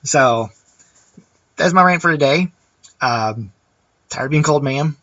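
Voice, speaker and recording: A man's voice: a little high, lively intonation, unhurried, sharp tone, no echo, lo-fi sound. A man vlogger ranting casually to camera, chatty and rambling with restarts.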